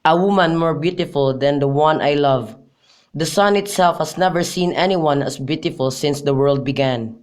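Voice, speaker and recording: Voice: mid-range voice, moderate pace, lightly acted. An amateur performer playing a lovesick, miserable young nobleman in a radio drama, reading earnestly and declaiming. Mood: ardent.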